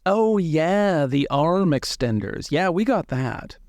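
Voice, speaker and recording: A man voicing a brisk, offhand merchant who haggles over old curios in a tabletop game, with a salesman's patter. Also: on the high side, big pitch swings, a little mellow, no room sound, clean studio sound, pitched above the performer's own voice, exaggerated voice. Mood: eager to sell.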